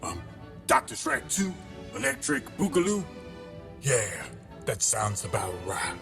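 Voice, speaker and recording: A man's voice: mid-range voice, wildly swooping pitch, measured pace, creaky, full character voice. A man playing a pompous, sentimental crab detective who narrates his log in a grandiose, melodramatic comedy audio drama style. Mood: dramatic narrating. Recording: music behind the voice.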